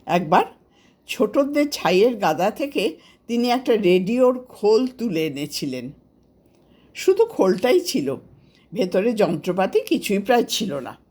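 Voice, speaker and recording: A woman with an Indian Bengali accent reads her own story aloud. Her pitch is medium and moves in lively, expressive swings, with an unhurried storybook delivery. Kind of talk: scripted storytelling narration of childhood memories.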